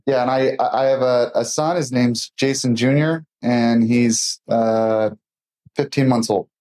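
A man's voice: medium pitch, fairly even intonation, unhurried, a little mellow. A man in his thirties talks through his introduction at an easy, loose clip. His delivery is full of fillers in a mid-range voice. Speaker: business owner guest. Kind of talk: roundtable introduction.

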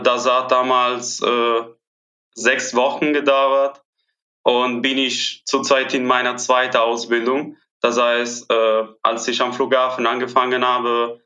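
A man's voice: medium pitch, pushed and bright, lo-fi sound. A man, answering interview questions hesitantly with many filler sounds. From Italy. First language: Italian. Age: 20s. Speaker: guest, airport trainee. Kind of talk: podcast interview.

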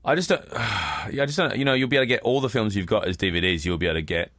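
A man co-hosting a podcast in casual, rambling chat, explaining things in a halting, long-winded, mock-learned way. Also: on the low side, fairly bright tone, dry recording, clean studio sound. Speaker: podcast co-host.

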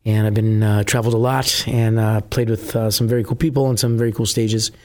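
Husky middle-aged dude just chatting, lots of uhs, goes on and on. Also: male voice, on the low side, flat delivery, brisk, darkish tone. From New Jersey. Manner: chatty, rambling, many "uh" fillers. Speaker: musician guest. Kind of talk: casual interview chat.

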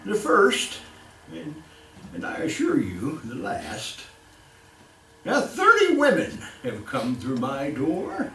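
A man's voice: fairly high pitch, wildly swooping pitch, takes it slowly, fairly bright tone, a little hiss or hum, heavily acted. An actor playing a gleeful, sinister old male killer in old-time radio style, stammering and chuckling with mock courtesy. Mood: gleeful menace. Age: older. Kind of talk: live old-time radio horror acting. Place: live stage performance. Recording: roomy, distant live stage with music.

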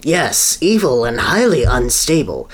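Earnest scientist doctor, acted by a podcast reader, boastful, expository, lively pitch swings, storybook reading. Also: a little slow, slightly rough, poor audio. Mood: earnest, grave. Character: earnest scientist doctor explaining his grand scheme.

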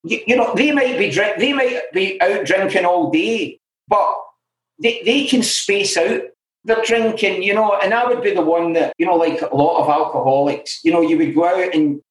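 Middle-aged glasgow guy, scottish accent, talking straight and frank, bit higher voice, lots of you know, roomy echo. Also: normal speed. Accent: Glaswegian Scottish. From Scotland. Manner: frank and self-deprecating, full of "you know" asides. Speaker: interview guest in alcohol recovery. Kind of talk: candid conversational storytelling. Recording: roomy echoey room.

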